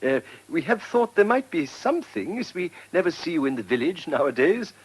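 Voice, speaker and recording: Nervous english vicar, posh rp, hems and stammers, very apologetic, bit husky, radio play. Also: male voice, a little high, sing-song, brisk. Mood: awkward sympathy. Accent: English, received pronunciation. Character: timid, deferential English country vicar. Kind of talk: British radio comedy drama acting.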